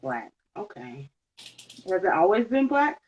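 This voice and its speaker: Podcast host, easygoing and agreeable; mid-pitched voice, slightly dull recording. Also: big pitch swings, a little slow, breathy edge. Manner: easygoing, agreeable, laughs often. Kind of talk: casual interview questions.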